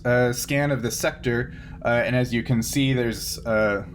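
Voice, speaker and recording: A man's voice: mid-range voice, steady tone, a little slow, a little hiss or hum. A man narrating as game master of a tabletop roleplaying game, improvising and often searching for words.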